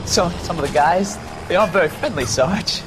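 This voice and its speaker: Man acting a higher-voiced nervous young Marine. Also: moderate pace, natural voice. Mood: anxious. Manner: hesitant, anxious. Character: nervous, green young male Marine recruit. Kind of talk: video game cutscene acting. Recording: battlefield noise behind.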